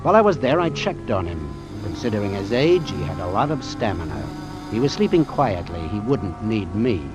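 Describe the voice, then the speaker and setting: A man's voice: on the low side, sing-song, moderate pace. A man playing a wary, hard-boiled doctor who narrates his sea adventure in terse, suspenseful old-time radio style. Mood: tense, brooding. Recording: vintage radio drama with music underneath.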